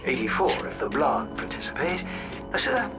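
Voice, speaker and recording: A dry, servile robot butler from a video game. He sounds formal and deadpan in a slightly high voice with some lilt, over loud music. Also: moderate pace. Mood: cautious, formal.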